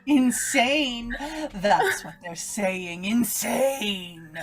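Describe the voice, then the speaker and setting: Evil female voice in the head,, slow delivery, taunting, domineering, old-time radio horror. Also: mid-range voice, animated. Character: evil woman's voice inside a young woman's head.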